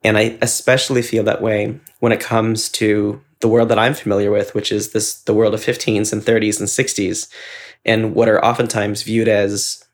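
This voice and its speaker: A man with a fairly low voice co-hosts a conversational podcast. He speaks at length in an earnest, effusive way, casual and reflective as he goes. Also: flat delivery, moderate pace. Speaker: podcast co-host and ad maker.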